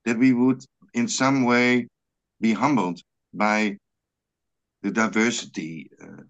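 A man whose first language is Frisian leads an online scripture study in English. His voice sits low and he talks very slowly, often stumbling over repeated words. The sound comes through a video call. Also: steady tone, rough recording. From Netherlands. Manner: halting, many repeated words and restarts. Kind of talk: online religious study group discussion.

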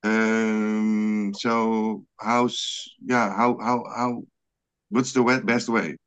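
A Frisian man leading a scripture study on a video call, speaking English with many halting restarts and repeated words. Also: lowish voice, flat delivery, fairly bright tone, clean tone. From Netherlands. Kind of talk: online religious study group discussion.

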